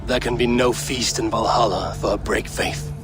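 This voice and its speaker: An actor playing a commanding, stern Viking raider in a video game, with a measured, authoritative manner. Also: male voice, mid-range voice, steady tone, music in the background, lightly acted. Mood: cold, grave.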